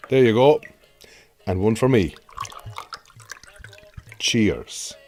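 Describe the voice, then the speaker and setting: Animated, tactless Irish farmer husband. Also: male voice, fairly low pitch, very slow, a little hiss or hum, full character voice. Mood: breezy. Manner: jokey, blundering, defensive. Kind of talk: naturalistic radio drama acting.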